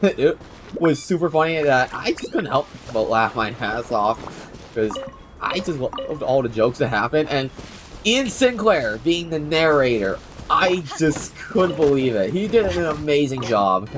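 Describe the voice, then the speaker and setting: A man's voice: fairly high pitch, sing-song, takes it slowly, long pauses, noisy background. A young man chatting casually and slangily as he streams a game, rambling from thought to thought. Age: young adult. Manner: rambling, slangy, jokey self-deprecation. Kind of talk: casual livestream chat while playing. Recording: game music behind the voice. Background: video game music and dialogue.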